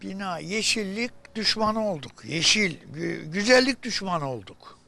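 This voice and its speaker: High, sharp-voiced man, lamenting. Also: expressive, measured pace, gravelly. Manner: lamenting, emphatic repetition, rhetorical questions.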